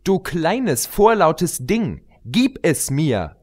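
Storybook villain, played by a man: wrathful pony queen, commanding, with a heightened pitch. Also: very animated, drawn-out words, full character voice. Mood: furious. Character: wrathful, imperious evil queen pony in disguise.

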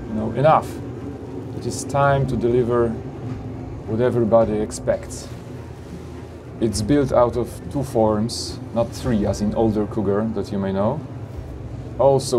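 Gravelly man. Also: medium pitch, background noise. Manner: upbeat salesy, with frequent "you know" and "of course". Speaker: flight simulator company presenter. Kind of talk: product showcase narration. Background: background music.

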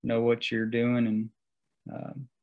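A young man presenting slides in a seminar talk over a video call, halting and full of fillers. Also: mid-range voice, monotone, highs cut off. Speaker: guest speaker.

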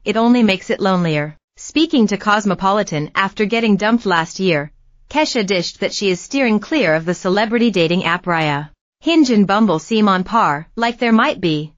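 A woman narrating a scripted celebrity news story in a steady read-aloud manner. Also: medium pitch, no room sound, dull audio.

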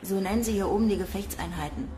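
An actress playing a cynical young space recruit in a radio drama, blunt, slangy and gossipy. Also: female voice, mid-range voice, quick pace, mellow, a little hiss or hum, lightly acted. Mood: cynical gossip.

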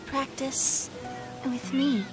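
A young girl in a fantasy game, high-pitched and sweet. She speaks in an earnest, gentle, smitten way over soft background music. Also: fairly even intonation, drawn-out words. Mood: coy, earnest. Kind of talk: video game cutscene acting.

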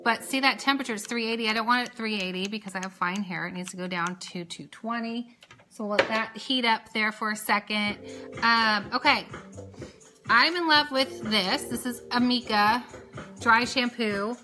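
Chatty, higher-pitched woman live-streaming. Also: normal speed, over music. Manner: chatty, rambling, talks while doing hair and makeup. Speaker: makeup and beauty live-stream host. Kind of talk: casual live tutorial chat.

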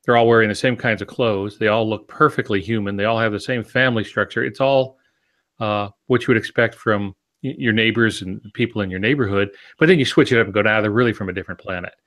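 Guy in his 60s, lowish voice, podcast co-host explaining stuff on a video call, pauses a lot mid sentence. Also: fairly bright tone. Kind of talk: conversational explaining on a writing podcast. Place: home office, indoors. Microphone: video call with earphones.

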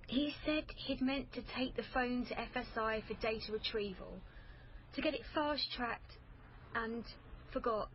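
An actress with a British accent playing a nervous, eager-to-please young police trainee, hesitant and defensive, in a radio drama. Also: female voice, on the high side, a little slow, dull audio, slight background noise, natural voice. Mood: defensive, sheepish.

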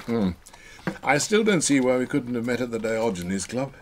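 An actor playing an urbane, superior elder brother in a radio drama, dry in manner, with a British accent. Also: male voice, mid-range voice, lively intonation, moderate pace, a little hiss or hum, close to an everyday voice. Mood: dry, unruffled.

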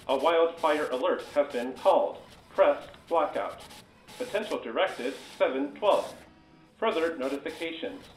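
Man as clipped official announcer, echoey. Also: fairly high pitch. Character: impersonal male government announcer, clipped, official. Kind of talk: radio drama announcement. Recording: echoey, faint noise.

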